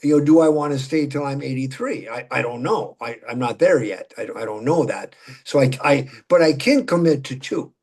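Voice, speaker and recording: A man's voice: mid-range voice, fairly quick, few pauses, a little mellow. A man in his seventies with a Minnesotan accent, chatting in a folksy, talkative way as a political candidate in a casual conversation. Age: 70s. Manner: folksy, chatty, laughs while talking.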